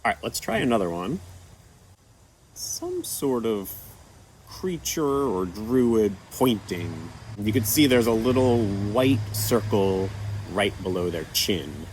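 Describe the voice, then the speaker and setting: A man gently walking children through an activity with patient, step-by-step instructions and a few fillers. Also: lowish voice, expressive, slow pace, a little hiss or hum, poor audio. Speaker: children's storytime presenter. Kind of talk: guiding kids through an activity.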